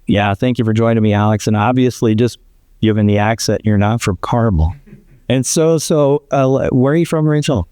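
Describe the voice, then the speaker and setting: A man's voice: medium pitch, a little fast, warm tone, clean tone, no room sound. A man hosting a business podcast, asking his guest questions in an upbeat, conversational way. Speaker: podcast host. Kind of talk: interview conversation.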